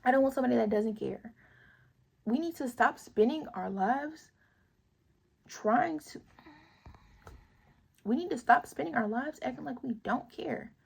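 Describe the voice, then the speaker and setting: A young woman vlogs straight to her viewers in an earnest, emphatic, slangy way. Her voice is raspy, with a gravelly fry and a husky, breathy edge. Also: high voice, unhurried, long pauses, a little mellow. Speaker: vlogger. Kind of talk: casual opinion vlog.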